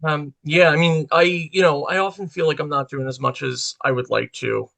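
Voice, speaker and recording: Gravelly man, reflective and tentative. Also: on the high side, steady tone, moderate pace, fairly bright tone. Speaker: writer, panel guest. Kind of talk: casual discussion.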